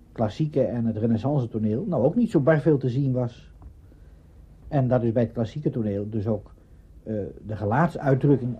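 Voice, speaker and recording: Very husky man explaining thoughtfully. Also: mid-range voice, mellow. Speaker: interviewee. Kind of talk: explanatory interview talk. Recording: dull archival interview recording.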